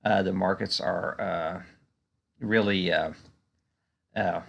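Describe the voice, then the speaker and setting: A man giving unscripted stock market commentary in a conversational, halting way, often padding with "you know". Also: deep voice, steady tone, creaky, muffled recording. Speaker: stock market analyst and video host.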